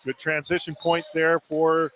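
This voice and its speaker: A man's voice: on the high side, completely flat delivery, measured pace, fairly bright tone, slight background noise. A man giving conversational color commentary and analysis during a live basketball radio broadcast. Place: at a basketball game in a gym.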